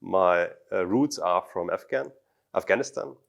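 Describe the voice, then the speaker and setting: A man's voice: low-pitched, expressive, measured pace, creaky. A man in his thirties from Germany answers interview questions to camera as a startup founder, feeling his way through sentences with repeated words. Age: 30s. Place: office meeting room indoors. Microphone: lapel mic.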